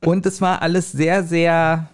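A man's voice: on the high side, little pitch movement, a little slow, clean tone. A man of around forty chatting casually as the co-host of a podcast. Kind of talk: casual chat.

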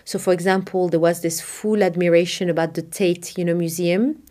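A woman from Morocco with a lowish voice speaks in an interview about her path. She is middle-aged and reflective, and she often pauses on "you know" and restarts her sentences as she thinks aloud. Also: fairly even intonation, warm tone. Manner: thoughtful, many "you know" fillers and restarts. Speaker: art fair founding director. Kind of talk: conversational interview answers.

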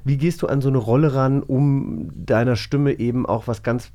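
A man's voice: mid-range voice, steady tone, moderate pace, mellow. A man hosting a casual podcast interview, rephrasing his questions as he goes. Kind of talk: casual chat.

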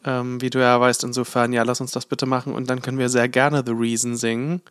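A man's voice: mid-range voice, flat delivery, brisk, fairly bright tone, clear, resonant tone, no room sound. A man co-hosting a podcast, talking in loose, rambling sentences full of hedges. His voice sits close and dry on the mic with no room sound. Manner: rambling, many fillers and hedges. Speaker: podcast co-host. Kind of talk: casual chat.

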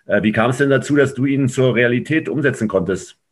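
Male co-host, mid-pitched and quick, casually interviewing a guest. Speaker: podcast host.

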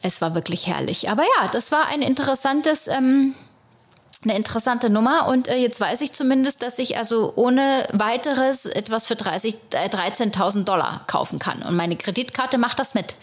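A woman's voice: a little high, expressive, normal speed. A woman from Germany, an actress and podcast host, telling stories in a chatty, rambling way with many hesitations. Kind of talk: casual solo podcast storytelling.

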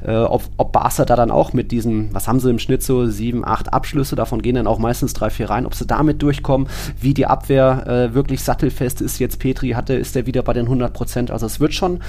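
A man co-hosts a casual football discussion. His pitch is average for a male voice. He is chatty, stringing his thoughts together in loose, run-on phrases. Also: fairly even intonation, quick pace, hardly any pauses, warm tone, a little hiss or hum. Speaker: podcast host.

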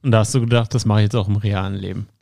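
Chatty male podcast host, dark voice. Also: lowish voice, fairly even intonation, vocal fry, no room sound. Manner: chatty, asks quick follow-up questions. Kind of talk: casual interview.

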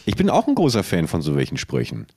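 A man's voice: low-pitched, wildly swooping pitch, fast talker, a little mellow, breathy edge, no room sound, clean studio sound. A man co-hosting a podcast in casual, playful comedic chat, spinning imagined scenarios. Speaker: podcast co-host.

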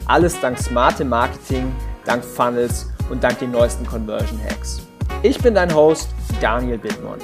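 A male podcast host who interviews his guest in an enthusiastic, casual way with English buzzwords mixed in. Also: mid-range voice.

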